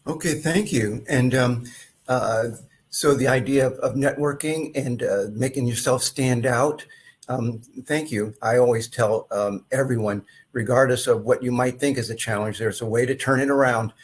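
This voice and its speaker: Husky-voiced man hosting a webinar. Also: mid-range voice, moderate pace. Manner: hesitant, many fillers. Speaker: webinar host and mentor. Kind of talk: hosting a panel.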